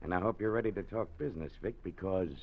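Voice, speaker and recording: A scheming mob boss in a vintage radio thriller, his voice low and hard. He talks in clipped, tough-guy bursts. The sound is dull and lo-fi, like an old broadcast. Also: quick pace. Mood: menacing. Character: scheming male gangster boss, menacing. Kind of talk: 1940s radio acting.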